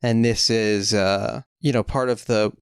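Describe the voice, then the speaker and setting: A man's voice: on the low side, steady tone, measured pace, gravelly, clean tone, dry recording, studio quality. A man co-hosting a podcast in casual chat, joking and drifting into rambling tangents. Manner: rambling, jokey, riffs on tangents. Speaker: podcast co-host.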